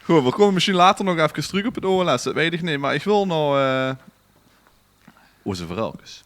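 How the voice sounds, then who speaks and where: A man's voice: a little high, very animated, fairly quick, fairly bright tone. A man with a Dutch Limburg accent chatting casually as a podcast co-host among friends.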